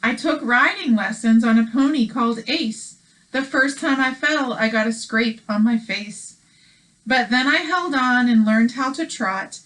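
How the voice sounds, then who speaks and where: A woman co-hosting a children's read-aloud, mixing expressive storytelling with casual chat. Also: fairly high pitch, a little slow, rough recording.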